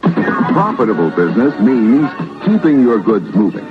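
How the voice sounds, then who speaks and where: A man's voice: medium pitch, sing-song, measured pace. An American man reading a scripted commercial in a 1970s radio style. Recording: dull vintage radio sound. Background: music.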